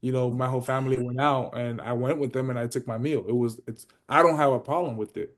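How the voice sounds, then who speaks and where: A man speaks at an everyday middle pitch. He talks loosely and swears freely, padding his thoughts with "you know" and "like" as he explains himself in a casual podcast chat. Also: fairly even intonation, fast talker. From Miami, United States. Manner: loose and profane, heavy on "you know" fillers. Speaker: podcast guest, pro bodybuilder.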